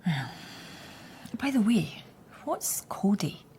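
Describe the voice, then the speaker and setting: A woman's voice: medium pitch, sing-song, takes it slowly, dark tone, slight background noise. Scottish lady cop in a radio play, coaxing, very up and down, faint ambience. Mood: probing. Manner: coaxing, probing. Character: steady, coaxing female police detective. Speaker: actor in a radio crime drama. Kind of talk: radio drama acting.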